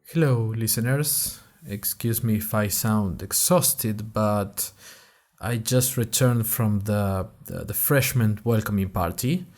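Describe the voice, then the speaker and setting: A man's voice: on the low side, slow, darkish tone, faint music behind. A man playing a wry college freshman who narrates his audio diary in a dry, conversational podcast style with hesitant asides. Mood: weary. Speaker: podcast host character.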